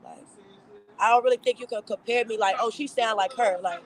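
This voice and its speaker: A young woman with a raspy voice and a Southern accent, talking about her music in a relaxed interview. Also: a little high, a little fast, low-quality recording. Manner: relaxed, filler-heavy, upbeat. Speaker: rapper, interview guest. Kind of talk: casual interview chat.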